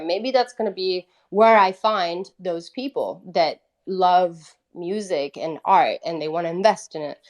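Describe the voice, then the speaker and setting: Woman chatting casually. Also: medium pitch. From Italy. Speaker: guest, independent musician. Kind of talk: casual conversational storytelling.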